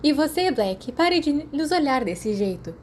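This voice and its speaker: A woman reader acts out a feisty teenage girl with a Brazilian accent. She is bossy and quick to accuse, exclaiming in an audiobook story over faint background noise. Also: high-pitched, sing-song, pitched above the performer's own voice. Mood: bantering. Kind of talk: audiobook character voice.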